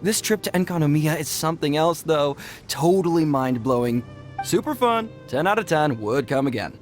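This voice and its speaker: A man's voice: fairly high pitch, lively intonation, moderate pace, lightly acted. A man playing an odd, chipper young soldier in a video game, with a breezy, casual, upbeat way of talking. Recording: music behind the voice.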